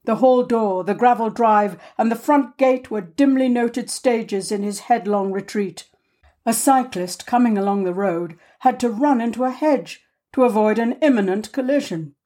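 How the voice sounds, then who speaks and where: A woman narrating a short story as a reader, measured and expressive, with dramatic pauses. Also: on the high side. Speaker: audiobook reader.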